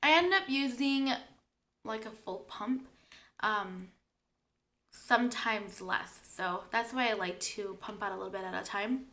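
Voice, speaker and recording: A woman's voice: medium pitch, measured pace, sharp tone. A young woman with a cold and a sore throat, chatting her way through a makeup tutorial and explaining each step as she works. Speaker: beauty vlogger.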